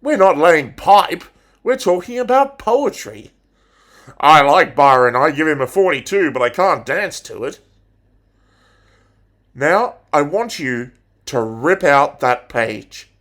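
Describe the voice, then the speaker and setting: Man acting as rousing English teacher, very unhurried, moderately high male voice, declamatory, theatrical monologue. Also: fairly bright tone, heavily acted. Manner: declamatory, deliberate, with dramatic pauses. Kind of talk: dramatic monologue recitation.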